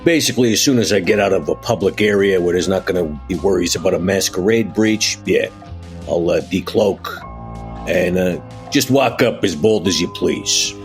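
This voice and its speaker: Very low-pitched man, halting casual roleplay. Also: soft background music. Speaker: player in a tabletop RPG.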